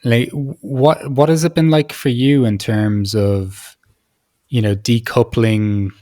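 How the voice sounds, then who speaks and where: A man's voice: mid-range voice, steady tone, slow, mellow. A man hosting a conversational podcast interview, feeling his way through long questions with many restarts.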